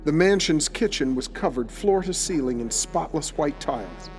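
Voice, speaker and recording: Guy narrating a radio drama story, slightly high voice, music under it. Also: animated, moderate pace, a little mellow. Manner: descriptive storytelling. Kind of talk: scripted audio drama narration.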